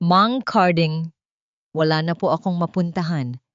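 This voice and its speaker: A woman's voice: fairly low pitch, unhurried, no room sound, lightly acted. A woman voicing a desperate, frightened young housemaid with a broken, pleading delivery in an audiobook drama. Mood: despairing. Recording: dull, dry lo-fi recording.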